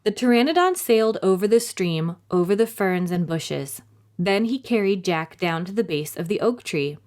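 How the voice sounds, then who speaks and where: Female storytime reader, highly varied intonation, dramatic and clearly phrased delivery for young listeners. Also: lively intonation, normal speed, darkish tone. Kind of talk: expressive scripted storybook narration for children.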